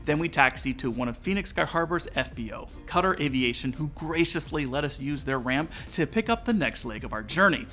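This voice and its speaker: A man's voice: a little high, lively intonation, a little fast, hardly any pauses, pushed and bright. A man, giving a scripted, instructive explainer as a presenter. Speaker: presenter of flight tutorial. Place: small indoor studio set. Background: background music.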